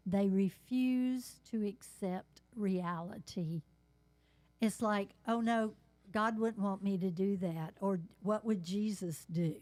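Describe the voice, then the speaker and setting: A woman's voice: mid-range voice, slow, darkish tone, no echo. A middle-aged woman with a mild Southern accent, a guest speaking bluntly and with strong opinions in a casual talk.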